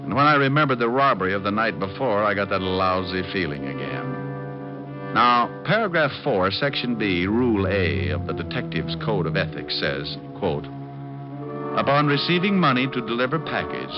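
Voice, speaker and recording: An actor playing a cocky, flirty private detective with an American accent and glib deadpan delivery in a 1940s radio drama. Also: male voice, on the low side, normal speed. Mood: wry narration. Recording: dull vintage radio recording with music.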